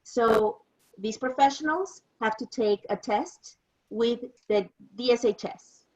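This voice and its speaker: A woman's voice: a little high, takes it slowly, darkish tone, muffled recording. A woman of fifty with a Mexican accent, a native Spanish speaker, giving an informal explanatory presentation as an interpreter.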